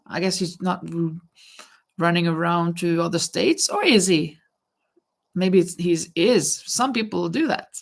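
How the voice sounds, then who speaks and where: A middle-aged woman with a stuffy, nasal voice that has a gravelly edge. She talks slowly and chats easily with viewers while she works on her crafting. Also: lowish voice. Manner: chatty, reads viewer comments aloud, hums while working. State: stuffy nose, sounds nasal. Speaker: live-stream crafting host. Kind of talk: casual chat while crocheting.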